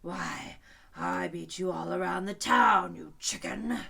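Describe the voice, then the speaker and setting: A woman voicing a sleepy, weary male lion in a storybook reading, speaking in a hushed, breathy drawl. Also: low-pitched, sing-song, takes it slowly, exaggerated voice. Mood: gruff.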